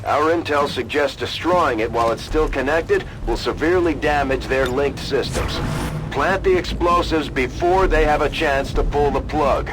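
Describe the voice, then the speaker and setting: An actor playing a businesslike military officer who briefs soldiers on a mission in a video game. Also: male voice, on the high side, normal speed, over music, lightly acted, low-quality recording. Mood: firm, businesslike.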